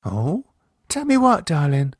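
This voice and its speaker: A man voicing a sharp-tongued wife, talking in a blunt, quick, scolding way. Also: a little high, wildly swooping pitch, no echo, clean studio sound, voice pushed up, full character voice.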